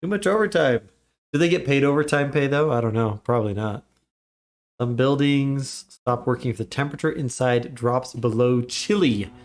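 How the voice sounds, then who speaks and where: A man's voice: medium pitch, normal speed. A man, a father of young kids, chatting casually while livestreaming a game, his voice a little congested. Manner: chatty, upbeat, greets chat often. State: slightly congested from a cold. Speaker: livestream gamer.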